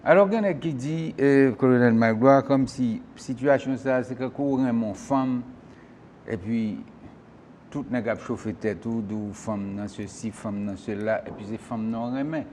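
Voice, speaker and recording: A man's voice: mid-range voice, measured pace, darkish tone, a little hiss or hum. A man hosting a call-in political talk show, who questions and presses his guest.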